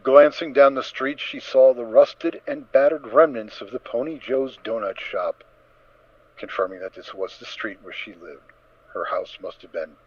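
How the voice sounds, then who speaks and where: A man narrating a story as a dramatic reading, in an expressive, theatrical manner. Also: mid-range voice, moderate pace. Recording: slightly dull, dry close recording.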